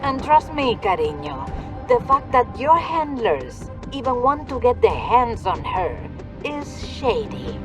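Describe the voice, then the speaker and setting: Sly taunting mercenary, condescending, very varied intonation, video game drama, music behind. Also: female voice, fairly high pitch, very animated, slow pace. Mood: sly, provocative. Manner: teasing, condescending, peppers speech with endearments. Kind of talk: video game cutscene acting.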